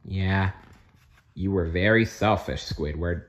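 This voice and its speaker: A man's voice: low voice, slow. A man reading a storybook aloud as narrator, expressively and with playful asides. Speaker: storybook reader.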